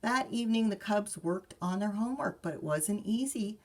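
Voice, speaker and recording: A woman librarian reading a picture book aloud to children, expressive and chatting directly to her young listeners. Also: medium pitch, moderate pace. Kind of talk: read-aloud storytime with chatty asides.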